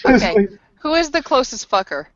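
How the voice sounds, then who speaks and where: A woman chatting casually as a player in a tabletop roleplaying game, slangy, argumentative and quick to swear. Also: fairly high pitch, big pitch swings, a crowd in the background. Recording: dull, close lo-fi recording.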